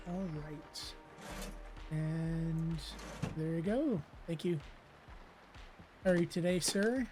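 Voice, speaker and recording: Rambling gamer with a weakened, strained voice. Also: medium pitch. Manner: rambling, filler-heavy, self-deprecating. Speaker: let's play host. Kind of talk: casual gameplay commentary.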